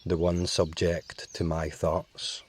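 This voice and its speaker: A man leads a guided meditation in a calm, measured voice and leaves long pauses between phrases. His voice is deep and steady and moves very little, and he speaks very slowly. Faint birdsong sits quietly behind him. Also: a little hiss or hum.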